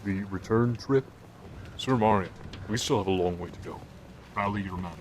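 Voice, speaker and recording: An imperious lord of holy knights from a fantasy audio drama, played by a man. His voice is on the low side. He speaks in measured, formal phrases, commanding and haughty. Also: slight background noise, close to an everyday voice.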